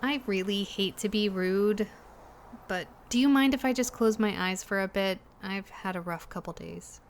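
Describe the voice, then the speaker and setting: A woman's voice: mid-range voice, moderate pace, slight background noise, natural voice. An actress in an audio drama playing a weary, distrustful and defiant young woman passenger. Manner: wary, confrontational.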